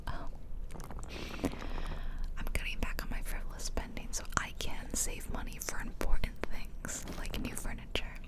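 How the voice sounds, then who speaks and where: Whispering young woman, casual ASMR chat. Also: low-quality recording. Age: 20s. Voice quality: soft unvoiced whisper. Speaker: ASMR video host. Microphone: close ear-to-ear ASMR microphone.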